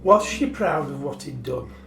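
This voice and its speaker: An actor playing a sombre radio play narrator, telling the tale in a measured, emphatic way. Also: male voice, medium pitch, animated, creaky. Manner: measured, emphatic, repeating phrases. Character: sombre, measured male narrator recounting a marriage's tragedy. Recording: roomy echo with guitar behind. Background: soft plucked guitar music.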